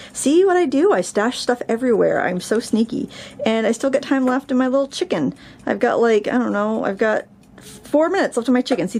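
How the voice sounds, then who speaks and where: A woman's voice: medium pitch, gravelly, a little mellow. A woman with a Maine accent chatting casually as a craft vlogger while she sorts her art room, filmed on a handheld camera. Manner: rambling, self-deprecating, many ums and likes. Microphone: handheld camera mic.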